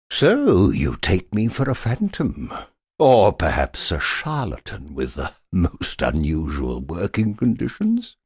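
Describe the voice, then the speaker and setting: Husky, theatrical undead sea captain. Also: male voice, sits low, no echo, studio quality, full character voice. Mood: indignant, coaxing. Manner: grandiloquent, wry, drawn-out dramatic phrasing. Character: theatrical undead sea captain, courtly, scheming, cursed and stranded. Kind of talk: theatrical video game character acting.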